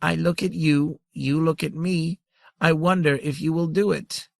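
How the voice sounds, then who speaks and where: Guy doing a tinkly giggly little old grandmother bug, high and teasing, dry sound. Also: a little slow, creaky, fairly bright tone, no echo. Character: tiny ancient giggling female creature elder, playful.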